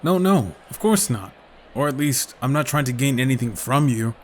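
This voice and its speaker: A man's voice: mid-range voice, expressive, normal speed, a little hiss or hum, natural voice. A man acting a friendly, stubborn young ninja in an anime-style audio drama, with a casual, questioning, conversational delivery. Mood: earnest, pondering. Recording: music behind the voice.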